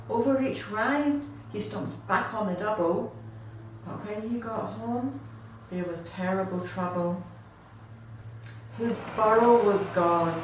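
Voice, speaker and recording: A woman storyteller reads a rhyming picture book with theatrical expression. Her pace is slow and her pitch is middling. She is heard from a little way off in a very echoey room. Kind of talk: children's picture book read aloud in rhyme. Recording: echoey room, distant mic, faint noise.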